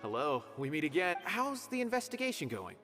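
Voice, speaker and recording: An actor as polite, lively-pitched scholar. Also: a little fast, lightly acted. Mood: friendly concern. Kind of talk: scripted video game dialogue. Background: game music.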